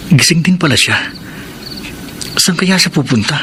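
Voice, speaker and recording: A man's voice: on the high side, lively intonation, moderate pace, noisy background, lightly acted. An actor playing a curious, adventurous young man from the city in a radio drama. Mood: hushed, pensive. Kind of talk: radio drama acting with hushed inner narration.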